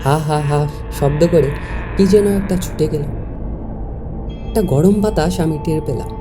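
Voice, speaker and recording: A woman's voice: sits low, a little slow. A woman reading a scripted first-person horror story as its narrator. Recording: music behind the voice. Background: music.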